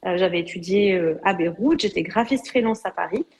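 A woman's voice: fairly low pitch, a little fast. Hesitant Lebanese-born woman telling her story. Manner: hesitant, many fillers and restarts. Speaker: guest. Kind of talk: interview, personal story.

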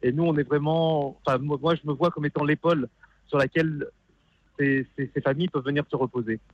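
Man on a dull-sounding phone line, halting. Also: on the high side, fairly even intonation. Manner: halting, repeats words as he searches. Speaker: phone-in caller, funeral worker. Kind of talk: earnest personal testimony. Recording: dull band-limited phone call.

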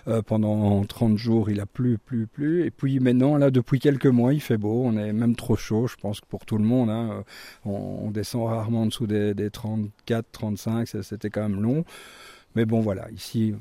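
A man's voice: lowish voice, dark tone, dry recording. A middle-aged man with a Belgian French accent, speaking casually as a chef being interviewed. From Brussels, Belgium.

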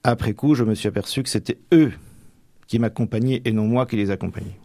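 A man's voice: medium pitch, fairly quick, warm tone, no room sound, clean studio sound. A young man training for the priesthood, answering radio interview questions in a hesitant way with many fillers and restarts. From Manche, Normandy.

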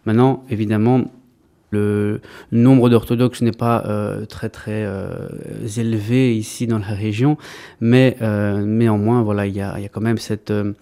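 Guy in his thirties, priest, halting answers full of "euh", kinda low and warm. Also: steady tone. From Greece, raised in Belgium. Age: 30s. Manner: hesitant, many fillers and restarts. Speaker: Orthodox priest. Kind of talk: interview answers.

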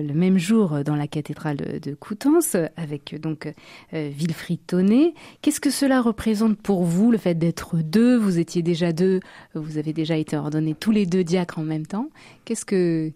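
A woman hosting a radio interview, asking questions in a gentle, measured way. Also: on the low side, no echo, studio quality. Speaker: radio host.

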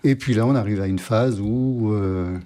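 An older man from Normandy talking casually as an interview guest, pausing often to search for his words. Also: fairly low pitch, dark tone. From Normandy, France. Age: older, 60s or 70s. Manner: hesitant, halting, searching for words.

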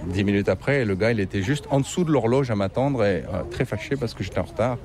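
A man's voice: lowish voice, fast, a crowd in the background. A man with an accent from France, chatting casually and telling anecdotes outdoors in the city. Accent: French from France. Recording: faint outdoor city noise. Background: faint street ambience, vehicles.

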